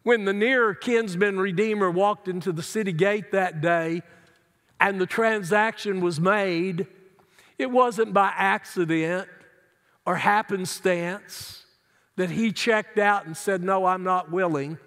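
Man preaching, high voice, unhurried, earnest emphasis, long church reverb. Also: a bit bright. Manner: earnest, emphatic preaching with wide hand gestures. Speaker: pastor. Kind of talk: sermon. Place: large church sanctuary, indoors.

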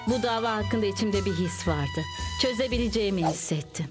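A woman's voice: mid-range voice, expressive, a little fast, lightly acted. A woman voicing an eager young insect who narrates like a hard-boiled detective in a dubbed cartoon, dramatic and mock-serious. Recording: music under the voice.

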